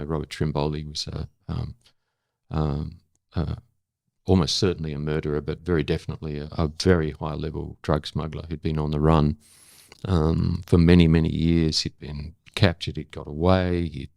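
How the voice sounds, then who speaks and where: Older Australian male interviewee, very low voice, dry close sound, candid and emphatic, thoughtful halting delivery. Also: gravelly, dry recording. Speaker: interview guest, former journalist. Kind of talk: reflective interview talk.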